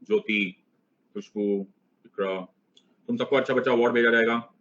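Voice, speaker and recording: A man's voice: medium pitch, fairly even intonation, normal speed, a bit bright. Man, online class teacher, playful teasing lecture, Hindi-English mix, muffled lo-fi sound. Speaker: online exam-prep teacher.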